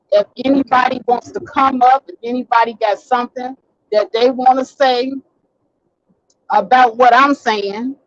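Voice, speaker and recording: A high-pitched woman holds forth on a live stream from behind the wheel, blunt and animated and cursing freely, her voice wearing hoarse. The sound is dry and a touch dull. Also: no room sound. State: getting hoarse. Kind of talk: casual live stream commentary. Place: in a vehicle, driving.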